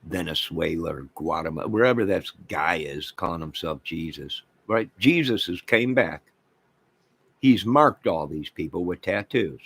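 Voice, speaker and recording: A man's voice: low voice, animated, moderate pace, gravelly, fairly bright tone, breathy edge. An American man giving a rambling, off-the-cuff rant as an online channel host, speaking in an exasperated, repetitive and emphatic manner.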